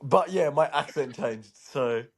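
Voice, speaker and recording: Man on a podcast, relaxed teasing banter, mid-range voice. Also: mid-range voice, takes it slowly, a crowd in the background. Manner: laid-back, teasing banter. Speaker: podcast co-host. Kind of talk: casual chat.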